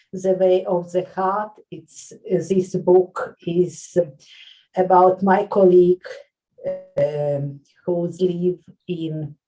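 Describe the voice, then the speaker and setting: A Lithuanian woman who works as a nurse and writes books, answering interview questions in halting English with frequent pauses and fillers. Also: fairly low pitch, dark tone, recorded from a distance, poor audio. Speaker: author and nurse.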